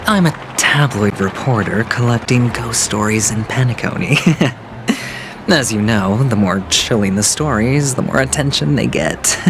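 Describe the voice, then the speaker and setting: A man's voice: medium pitch, lively intonation, a little slow, background noise. A man playing a flamboyant young gambler in a video game, sly and smug, with teasing, theatrical delivery. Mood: charming, sly. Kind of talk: scripted video game voice acting. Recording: background music under the voice.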